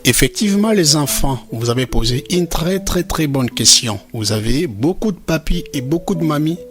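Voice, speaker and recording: A man playing a kindly, patient father in an animated film, addressing children over background music. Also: a little high, normal speed, lightly acted. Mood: patient, cheerful. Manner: addresses children, checking they agree. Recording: music behind the voice.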